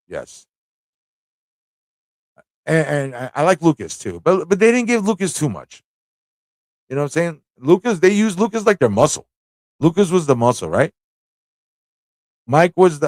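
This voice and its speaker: A man's voice: on the high side, sing-song, unhurried, pauses often, a little mellow, no room sound. A man hosting a livestream fan chat, talking in a rambling, informal way with frequent rhetorical tag questions. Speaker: livestream talk show host.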